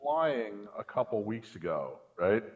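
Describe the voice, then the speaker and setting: A man's voice: on the low side, big pitch swings, unhurried. Slightly muffled man telling casual stories. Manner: storytelling with rhetorical check-ins. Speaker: podcast guest commentator. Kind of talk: casual anecdotal chat about films.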